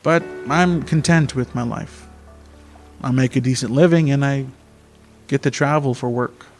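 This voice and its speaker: A man acts a young taxi driver in an amateur radio play, content and soothing. He talks slowly and haltingly at a medium pitch, with faint music behind him. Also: a little mellow, close to an everyday voice. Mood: easygoing. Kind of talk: amateur radio theatre acting.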